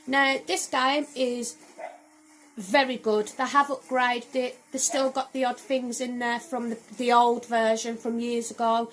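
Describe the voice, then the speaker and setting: Woman gaming vlogger, slightly croaky, higher-pitched, chatty and rambling. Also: low-quality recording. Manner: chatty, rambling, with frequent "um" and "so, yeah". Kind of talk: casual game collection review. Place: home room.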